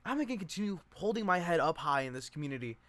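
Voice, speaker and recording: A man's voice: on the high side, expressive, racing, a bit bright, no echo, pitched above the performer's own voice. A man voicing a brash, fussy, gossipy Southern woman of twenty-nine from a stage play, exclaiming and scolding in a self-dramatizing way. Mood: dramatic dismay.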